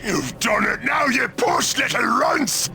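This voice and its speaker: A man voicing a huge, brutish, taunting ork pirate boss in a video game, with a gravelly growl and a British accent. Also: extremely high pitch, expressive, shouting, over music, heavily acted. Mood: roaring. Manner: crude slangy bellowing, drawn-out taunts.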